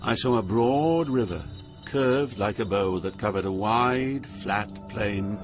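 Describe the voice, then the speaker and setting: An actor playing an awed poet pilgrim, narrating a journey through Hell in a solemn, dramatic style. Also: male voice, fairly low pitch, slow pace. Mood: awed alarm. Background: music.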